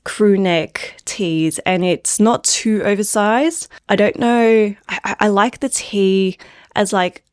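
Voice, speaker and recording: A woman's voice: mid-range voice, dry recording, studio quality. A woman and knitwear designer chatting casually about knitting patterns, her throat a little phlegmy from a cold, rambling with many filler words. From Australia. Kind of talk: casual pattern review chat.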